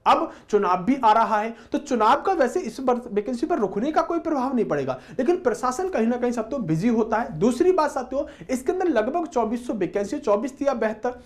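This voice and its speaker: Man, high-pitched voice, exam coaching teacher, informal lecture to students, addresses listeners as friends. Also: sing-song, brisk, few pauses.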